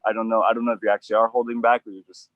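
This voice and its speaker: A man hosting an outdoor acting coaching session, animated and emphatic, with frequent restarts. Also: fairly low pitch, rapid-fire, dry recording, phone-quality sound.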